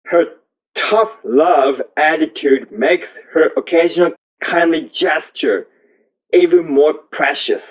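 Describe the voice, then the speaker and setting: A man reading a first-person story aloud as scripted narration, in slow, deliberate, measured phrases. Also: on the high side. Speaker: audiobook reader. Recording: thin, phone-like narrow-band audio.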